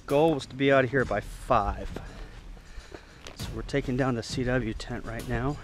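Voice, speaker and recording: A man's voice: mid-range voice, normal speed, soft background music. A man talking casually in a matter-of-fact, offhand way, giving short video-log updates as an amateur radio operator.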